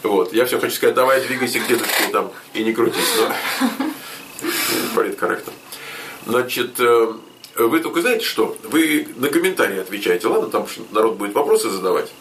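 A man hosting a casual interview, briskly prompting his guest with short questions. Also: fairly low pitch, expressive, fairly bright tone, far from the mic, a little hiss or hum. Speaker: interview host.

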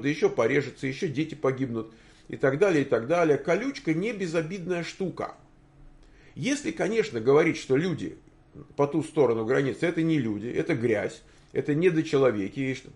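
Male, sixties, slightly high voice with heavy fry; off-the-cuff opinionated streamer, rhetorical questions, stammered restarts. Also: darkish tone. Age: 60s. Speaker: streamer answering viewer comments. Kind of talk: informal monologue commentary.

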